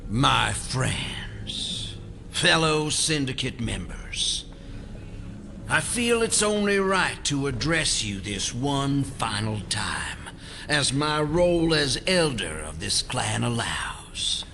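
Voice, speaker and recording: Dying conspiracy elder, very slow, gravelly, solemn and formal, audio drama with noise behind. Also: male voice, mid-range voice, big pitch swings, pushed and bright, exaggerated voice. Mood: solemn farewell. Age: older. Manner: solemn, formal, halting oration. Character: dying elder of a secret syndicate, grave and resigned.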